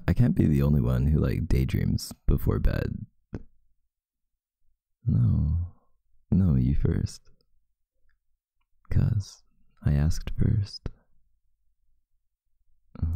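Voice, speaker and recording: A young man doing an intimate ASMR boyfriend roleplay as a sleepy, affectionate, teasing partner in bed, murmuring softly and drowsily close to the microphone. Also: very deep voice, animated, slow pace, long pauses, dry recording. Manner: soft, drowsy murmuring, partly breathy and whispered. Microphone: close microphone, dry sound.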